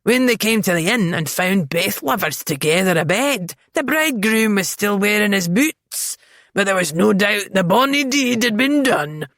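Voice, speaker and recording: A woman's voice: mid-range voice, lively intonation, unhurried, a bit bright, dry recording. Woman performing a jolly Scottish innkeeper, thick burr, boisterous and eager, dry close sound.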